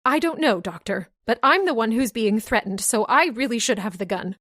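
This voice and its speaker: A woman's voice: a little high, lively intonation, moderate pace, a bit bright, dry recording, clean studio sound. A woman playing a frightened, guilt-ridden heroine in an old-time radio mystery, with a pleading, melodramatic delivery. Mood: tense, defiant.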